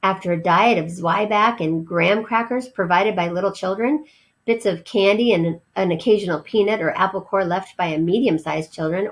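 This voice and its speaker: A woman reading a children's story aloud as a teacher would, expressive, pausing to explain words. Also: mid-range voice, low-quality recording.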